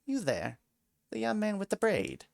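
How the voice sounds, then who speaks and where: A man's voice: high-pitched, huge pitch swings, unhurried, gravelly, dry recording, studio quality, voice pushed up, heavily acted. A man voicing a sly talking mouse that pleads politely and later turns into a boastful griffin. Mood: pleading. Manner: pleading and overly polite, then gloating.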